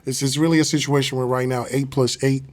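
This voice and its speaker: A man's voice: medium pitch, little pitch movement, fast, darkish tone. A man in his forties from Los Angeles speaking as an interview guest in a casual, slangy, motivational way, often adding check-in tags. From Los Angeles, USA. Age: 40s. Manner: slangy, peppers talk with check-in phrases like tags.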